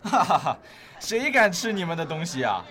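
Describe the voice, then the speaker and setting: Sneering, high-ish young male bully acted by a man. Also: very animated, normal speed, pushed and bright. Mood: mocking. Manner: taunting, swaggering. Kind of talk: amateur radio drama acting.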